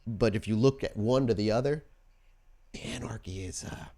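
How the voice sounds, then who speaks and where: A man's voice: on the low side, normal speed, dark tone. A streetwise vampire rebel woman, acted out by a man at a roleplay table, speaks bluntly and argues hard. The talk is folksy and foul-mouthed, with an idealist's scorn for those in power. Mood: impassioned, defiant. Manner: profane, folksy, argumentative.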